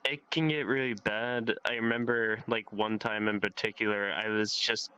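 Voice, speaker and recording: A man's voice: on the low side, steady tone, moderate pace, pushed and bright, clean tone, low-quality recording. A teenage young man in a casual, rambling chat, swearing offhandedly and leaning on "like". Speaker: guest telling life experiences. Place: online voice chat in a VR social game.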